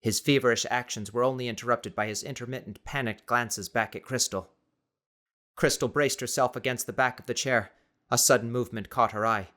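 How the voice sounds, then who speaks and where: Casting: male narrator for dramatic fiction audiobook, average-pitched, scripted storytelling delivery. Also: fairly even intonation, fairly quick, bright, forceful tone.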